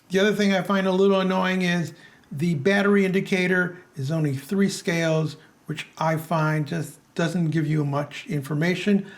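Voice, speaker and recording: A man presenting a product review as narration. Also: high voice.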